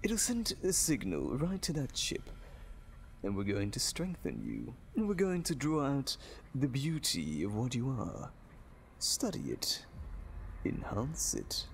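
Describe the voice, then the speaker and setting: An actor playing a sadistic, gloating evil doctor in an audio drama, theatrical and menacing with a gravelly voice. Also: male voice, medium pitch, huge pitch swings, takes it slowly, a little hiss or hum, heavily acted. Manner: theatrical, gloating, swooping between croons and outbursts. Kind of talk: audio drama villain acting.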